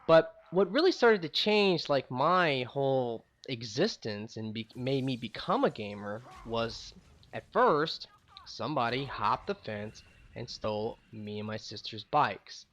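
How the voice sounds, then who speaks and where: Young male storyteller, loose rambling delivery, loud then mumbly, mid-pitched. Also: lively intonation, a little slow. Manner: rambling, frequent "like" and "you know", swings between loud bursts and trailing off. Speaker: gaming channel host. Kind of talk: casual storytelling.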